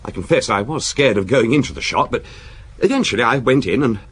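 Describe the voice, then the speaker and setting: An actor playing a deferential, dryly amused police subordinate in a radio drama, reporting in a deliberate storytelling way with small hesitations. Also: male voice, medium pitch, lively intonation, fairly bright tone, breathy edge. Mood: confessional, amused.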